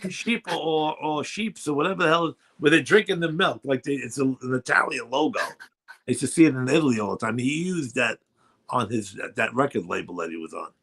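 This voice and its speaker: A man's voice: medium pitch, brisk, fairly bright tone, husky. A man in his sixties with a New York accent, hosting a radio chat show in a rambling, chatty way. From Brooklyn, New York. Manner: rambling, chatty, many fillers. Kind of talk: casual chat.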